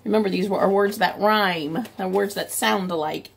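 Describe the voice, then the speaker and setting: A woman's voice: mid-range voice, normal speed, creaky, slightly rough. A middle-aged woman reading a picture book aloud to young children as a teacher, expressively stretching story phrases and adding simple explanations. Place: small room at home, indoors by a window.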